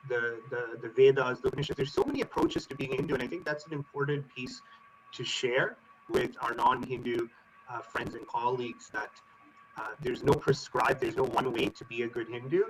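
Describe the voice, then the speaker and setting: A man speaking on a panel, thoughtful and halting, with frequent fillers. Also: mid-range voice, fairly even intonation, slight background noise, poor audio. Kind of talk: panel discussion remarks.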